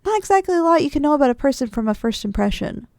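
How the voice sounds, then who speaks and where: A woman playing an affectionate, drowsy, playful wife in an intimate ASMR roleplay, speaking softly and teasingly. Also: high voice, very animated, very fast, creaky, no room sound, clean studio sound, full character voice. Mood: relaxed, musing. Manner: soft, affectionate, teasing, with sighs and giggles. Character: affectionate, drowsy, playful wife cuddling after a big dinner. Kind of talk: ASMR roleplay, intimate one-sided dialogue.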